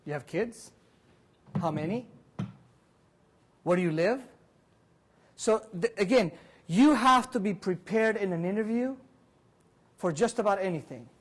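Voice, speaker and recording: Male seminar speaker in his 40s with a fairly high voice, lively and audience-quizzing, recorded from across a conference room. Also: measured pace, long pauses. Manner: animated, walks about and gestures, quizzes the audience. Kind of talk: interactive career seminar talk. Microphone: far camera, room mic.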